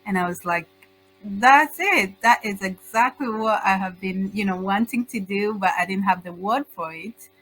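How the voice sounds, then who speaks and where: A middle-aged woman with a Tanzanian accent giving informal, halting answers as an interview guest. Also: mid-range voice, fairly bright tone, faint music behind, poor audio. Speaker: guest, social entrepreneur.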